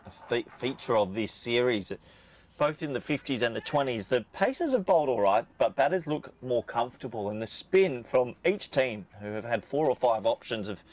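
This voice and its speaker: A man's voice: medium pitch, sing-song, moderate pace, darkish tone. Aussie guy on cricket commentary, chatty, keeps cracking jokes with his co-host. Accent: Australian. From Australia. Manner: chatty and jokey, reads out viewer questions. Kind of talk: live sports commentary.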